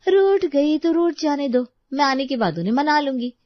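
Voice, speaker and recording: A woman's voice: high voice, lively intonation, normal speed, no echo, dull audio. A woman voicing a carefree, cheeky young woman in a cartoon story, breezy and playful. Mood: breezy, defiant. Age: young adult. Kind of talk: acted Hindi cartoon story dialogue.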